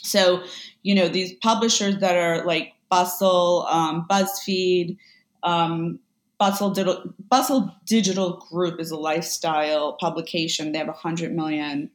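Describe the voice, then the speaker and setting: A woman's voice: lowish voice, fairly even intonation, unhurried, reverberant. A woman talking casually as an interview guest, rambling with lots of fillers. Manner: chatty, rambling, many fillers. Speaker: guest, online seller.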